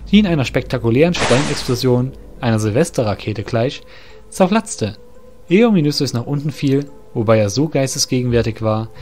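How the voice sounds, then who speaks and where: A man's voice: medium pitch, a little mellow. A man reading scripted fantasy narration for an audio drama. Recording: music under the voice. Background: music.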